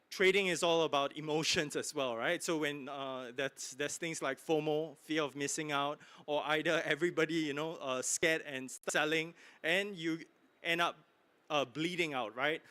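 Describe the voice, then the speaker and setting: A man giving a keynote sales pitch in a conference hall, pushy and full of fillers, asking "right?" over and over. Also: fairly bright tone. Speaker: keynote speaker. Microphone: handheld mic.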